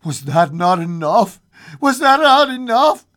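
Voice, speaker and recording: A woman's voice: on the high side, big pitch swings, unhurried, fairly bright tone, pitched above the performer's own voice. A woman voicing a frightened old husband in a story, stuttering and faltering unsteadily. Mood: aghast. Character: frightened, trembling old husband, aghast and tender.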